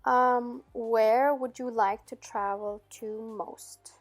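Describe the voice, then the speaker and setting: A middle-aged woman raised in Austria chats through viewer questions, her voice sitting a little high. She laughs softly and pauses with frequent "um"s. Faint music plays underneath. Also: steady tone. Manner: chatty, frequent "um" and soft laughs. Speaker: vlogger answering viewer questions. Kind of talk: casual Q&A chat.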